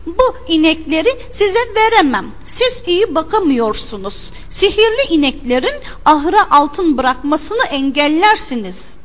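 A woman's voice: very high-pitched, big pitch swings, unhurried, few pauses, pitched above the performer's own voice, exaggerated voice. A woman voicing a cheeky, crafty young shepherd lad in a storybook, with bold, declaiming delivery. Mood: feigned reproach. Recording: long hall-like reverb.

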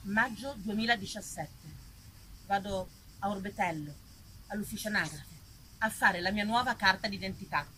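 Lively woman telling a stage story. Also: mid-range voice, moderate pace, pauses often, a little hiss or hum, poor audio. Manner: lively, ironic, conversational with the audience. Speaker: actress and author performing a monologue. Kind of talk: theatrical storytelling monologue.

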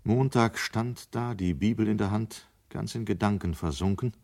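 A man's voice: lowish voice, normal speed, darkish tone, lightly acted. A man narrating a scripted German radio play from the 1970s.

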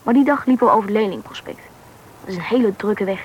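A young performer playing a lively thirteen-year-old Russian boy who narrates and acts in a radio drama, with a slightly husky boyish voice. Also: medium pitch, fast talker, lo-fi sound, a little hiss or hum, lightly acted. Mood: reflective storytelling. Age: young teen. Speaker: main character and narrator of a radio play. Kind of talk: radio drama storytelling and dialogue.

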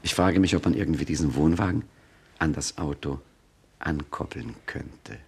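A man playing a cool-headed gangster boss, tender with his sweetheart, husky, in a German radio crime satire. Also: very deep voice, moderate pace, a little mellow, exaggerated voice. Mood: cool, commanding.

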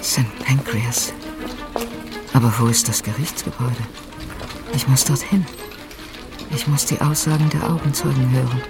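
An actress playing a distraught, creaky-voiced woman urging herself on in a radio-play inner monologue. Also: very deep voice, moderate pace, long pauses, over loud music. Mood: desperate, urgent.

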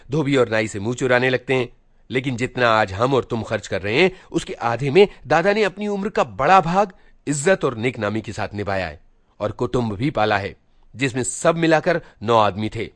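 A man's voice: mid-range voice, a bit bright, no room sound, close to an everyday voice. A man voicing a stern elder brother in a story reading, a schoolboy who lectures his junior with scolding, emphatic moralizing and rhetorical questions.

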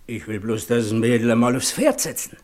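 An actor playing a teasing, rough country horse dealer in a German radio play, telling things in folksy Silesian dialect. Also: male voice, mid-range voice, a little mellow, slight background noise, close to an everyday voice. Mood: storytelling, sly. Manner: folksy, drawn-out storytelling.

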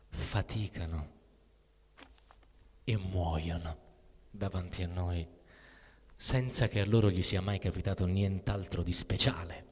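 A husky-voiced, disillusioned man delivers a bitter literary monologue in a theatrical reading. He speaks slowly and broodingly, with halting phrases. His voice carries a long reverb, as in a large hall. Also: lowish voice, full character voice. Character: bitter, disillusioned male narrator musing on human misery. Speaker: actor performing a literary text. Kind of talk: dramatic literary reading with music.

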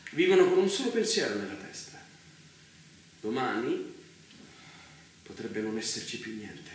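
A man acting a stern, confrontational infiltrator who bitterly rejects his brother in an Italian stage drama, moving between low menace and indignation. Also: very animated, a little slow. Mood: grave, menacing. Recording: echoey room, distant mic, faint noise.

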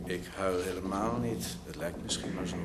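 A man's voice: low-pitched, moderate pace, noisy background, close to an everyday voice. An actor playing a sardonic, evasive man at a dying man's side in a radio play, speaking dryly. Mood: defensive. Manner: dry, sardonic. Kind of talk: Dutch radio play acting.

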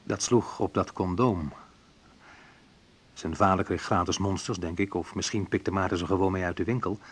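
A man's voice: deep voice, steady tone, normal speed, breathy edge. An actor playing a wry, reflective man who narrates memories of his youth in an intimate radio drama, confiding and a little hesitant. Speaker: narrator and main character of a radio play.

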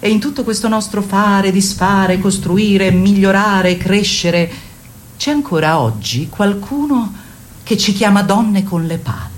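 A woman playing a commanding, encouraging feminist workshop leader in a radio play, exhorting her group with theatrical, rhetorical fervour. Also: mid-range voice. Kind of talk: radio theatre acting, declamatory.

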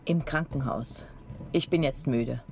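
A woman's voice: rumbling low, unhurried, darkish tone, soft background music. Guarded, curt middle-aged mother. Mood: shaken, evasive. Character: ailing, evasive, curt mother of two teenagers. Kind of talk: radio crime drama acting.

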